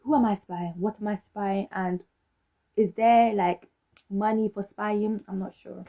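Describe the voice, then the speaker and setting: A young woman with a British accent talks straight to her viewers, sassy and sarcastic, throwing out one rhetorical question after another. Her voice has a husky, breathy edge. The recording sounds a little dull and muffled. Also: medium pitch, fairly even intonation, unhurried. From Britain. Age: young adult. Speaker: vlogger. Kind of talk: casual talk to camera.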